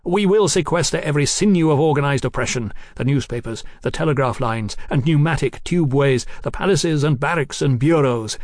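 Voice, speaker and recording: A man's voice: fairly high pitch, a little fast, few pauses, a little mellow, dry recording, clean studio sound, pitched above the performer's own voice, close to an everyday voice. A man voicing a zealous young revolutionary for an audiobook, haughty and grandiose, declaiming like an orator. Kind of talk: audiobook character acting.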